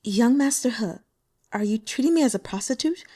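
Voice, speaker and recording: A woman reading aloud and voicing a scheming young woman who plays innocent, speaking softly, demurely and sweetly. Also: a little high, lively intonation, a little fast, no room sound, studio quality, voice pushed up. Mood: pleading. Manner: soft, demure and sweetly pleading.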